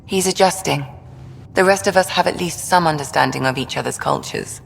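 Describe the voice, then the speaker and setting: A discreet female ship's doctor from a sci-fi game. Her very low voice crackles with fry, her delivery is measured and precise, and long reverb surrounds it. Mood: calm, professional. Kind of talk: video game character dialogue.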